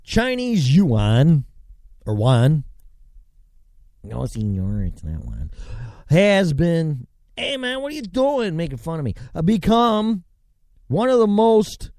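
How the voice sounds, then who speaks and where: Sarcastic ranting man with a lively voice. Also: high voice, dry recording, studio quality. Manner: sarcastic, rambling, swings between mutters and outbursts. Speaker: show host. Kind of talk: ranting commentary and news reading.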